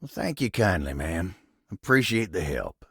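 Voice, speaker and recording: A man voicing a humble, hardworking living table in a video game, with a gravelly, husky Southern American drawl and a folksy manner. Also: deep voice, very animated, normal speed, dry recording, studio quality, full character voice. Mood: modest, polite. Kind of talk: video game character voice acting.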